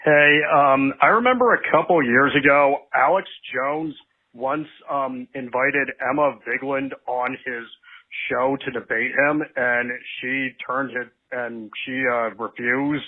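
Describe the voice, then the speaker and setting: A man's voice: medium pitch, a little slow. Casual male caller, tinny phone sound. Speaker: voicemail caller. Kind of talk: casual halting question.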